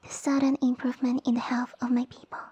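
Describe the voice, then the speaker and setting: A woman voicing a small, haughty young snake-girl noble in an ASMR roleplay, speaking softly with pompous, formal condescension. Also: high-pitched, little pitch movement, fast talker, slightly rough, highs cut off. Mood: haughty, appraising. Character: small haughty young lamia noblewoman, sassy and easily offended. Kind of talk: ASMR audio roleplay acting. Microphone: close dry microphone.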